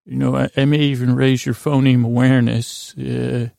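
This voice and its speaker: Male bedtime podcast host, very creaky and mellow, lulling, meandering and hesitant delivery. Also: medium pitch, little pitch movement, no room sound, clean studio sound. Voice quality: gravelly, creaky. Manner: languid, meandering, halting, digressive. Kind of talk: rambling bedtime monologue meant to lull listeners to sleep.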